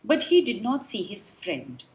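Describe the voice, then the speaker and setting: A woman reading a children's storybook aloud, expressive and dramatic, with deliberate pauses. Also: medium pitch. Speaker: children's book reader. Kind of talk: read-aloud storybook narration.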